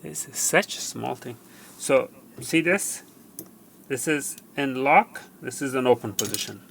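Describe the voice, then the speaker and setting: A man presenting a hands-on repair tutorial, explaining step by step in a halting way and repeating himself. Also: mid-range voice, expressive, gravelly, a bit bright. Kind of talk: hands-on how-to demonstration. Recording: faint background noise.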